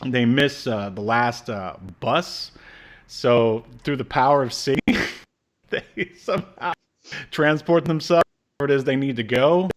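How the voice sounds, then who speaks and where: A man in his thirties co-hosting a casual review chat, recounting things haltingly, bit by bit. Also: mid-range voice. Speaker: podcast co-host. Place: small indoor room, video call.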